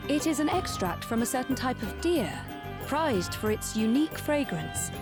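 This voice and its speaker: A poised young game noblewoman, highish voice, formal n precise, music clearly behind. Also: sing-song, moderate pace. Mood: reflective. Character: poised, refined young noblewoman, observant and polite. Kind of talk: video game cutscene acting. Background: background music.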